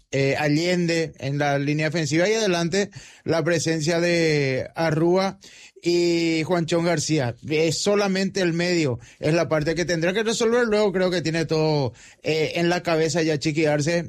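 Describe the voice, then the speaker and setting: A man with a Paraguayan accent giving conversational football reports, repeating and rephrasing as he goes. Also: a little high.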